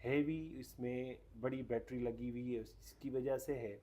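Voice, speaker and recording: A man's voice: mid-range voice, steady tone, unhurried, mellow. A man giving a casual hands-on product demonstration, explaining step by step and mixing in English technical terms. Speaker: radio reviewer.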